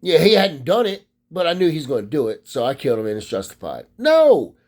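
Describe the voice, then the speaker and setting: A man's voice: a little high, huge pitch swings, fairly quick, darkish tone. A man from Maryland, USA, delivering a profane, rambling casual monologue as a vlogger.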